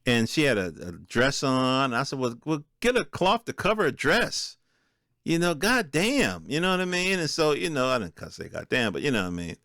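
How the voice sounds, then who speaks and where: A man's voice: mid-range voice, sing-song, normal speed, sharp tone, dry recording, clean studio sound. A man hosting a podcast solo, talking off the cuff in a streetwise, colloquial way. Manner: streetwise, colloquial, peppered with profanity. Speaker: podcast host. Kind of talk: casual, unscripted solo monologue.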